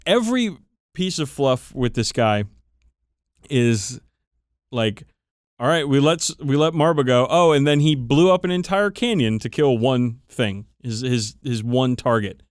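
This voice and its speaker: A man's voice: medium pitch, lively intonation, measured pace, dry recording, studio quality. A man hosting a podcast, casually telling tabletop game lore with sweary enthusiasm. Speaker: podcast host. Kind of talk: casual chat about tabletop wargame lore.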